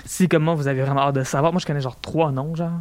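A young man with a Quebec French accent hosting a radio show, chatting casually with jokes and quick asides. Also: fairly high pitch, fairly even intonation, dry recording. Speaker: radio host. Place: radio studio. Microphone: studio microphone.

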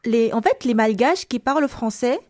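A woman's voice: fairly high pitch, animated, moderate pace, dark tone. A woman from Madagascar with a light Malagasy accent in her French, answering interview questions casually and hesitantly, with many fillers and repeated words. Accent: slight Malagasy French. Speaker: guest.

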